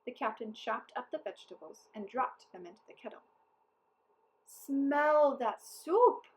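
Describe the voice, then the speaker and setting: A woman reading a children's book aloud as narrator, with deliberate, careful enunciation. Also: fairly high pitch, fairly bright tone, poor audio. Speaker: storybook reader. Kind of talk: scripted children's story read-aloud.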